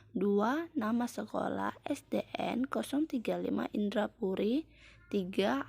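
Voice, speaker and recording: High-voiced young woman reading formally. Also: creaky, a little mellow, breathy edge. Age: young adult. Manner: formal, recited from a text. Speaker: university student presenter. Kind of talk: read-aloud research presentation.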